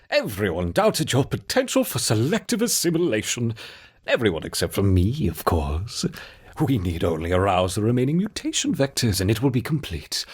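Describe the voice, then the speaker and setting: A man playing a gleeful, obsessive mad scientist in a video game, with a husky voice and a theatrical, coaxing, menacing delivery. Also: on the low side, heavily acted. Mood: triumphant, gloating. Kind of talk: video game character monologue.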